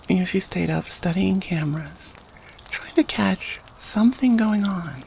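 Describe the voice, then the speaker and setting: A woman playing a student who tells an eerie campfire story in a halting, conversational way, in an audio roleplay. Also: medium pitch, creaky, slight background noise, rough recording. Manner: halting, conversational, thoughtful phrasing.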